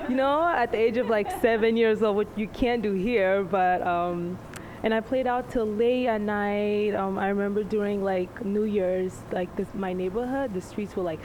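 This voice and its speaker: Young congolese-born woman rambling casually on a panel, lots of "like"s, noisy background. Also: medium pitch. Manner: relaxed, chatty, lots of likes and ums. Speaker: panel guest. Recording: noisy room.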